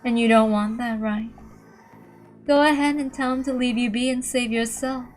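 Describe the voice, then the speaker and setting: A woman's voice: on the high side, fairly even intonation, normal speed, faint music behind, lightly acted. A woman voicing a cruel, gloating witch in a taunting, theatrical manner. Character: cruel, gloating female witch with cat minions.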